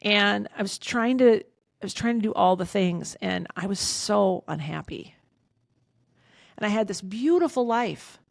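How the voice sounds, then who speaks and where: A woman in her fifties, a podcast guest, talking candidly in an outspoken, emphatic way with a loud voice, swearing freely. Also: mid-range voice, lively intonation, measured pace, gravelly, no room sound, studio quality. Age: 50s. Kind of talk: candid casual conversation.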